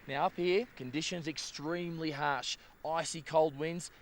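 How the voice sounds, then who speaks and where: A man's voice: a little high, steady tone, unhurried. An enthusiastic Australian man presenting a travel documentary in an upbeat way, speaking to the audience. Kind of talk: upbeat presenting, partly to camera, partly scripted narration. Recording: noisy outdoor location sound.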